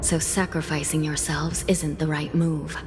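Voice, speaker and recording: A woman's voice: deep voice, little pitch movement, unhurried, background noise. An actress playing a dutiful, solemn female judge and prison guardian in a video game, speaking formally and resolutely.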